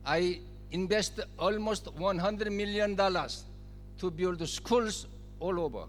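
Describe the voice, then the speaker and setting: A man's voice: sits high, takes it slowly, faint music behind. Older Korean-accented man, echoing hall. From South Korea. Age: 70s. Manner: short emphatic phrases, repeats key words. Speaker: guest speaker. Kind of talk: public speech at a forum.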